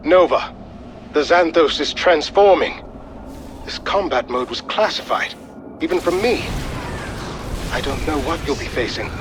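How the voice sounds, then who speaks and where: A man playing a calm, precise military intelligence adviser briefing an operative in a video game. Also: on the high side, a little slow, long pauses, background noise. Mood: urgent.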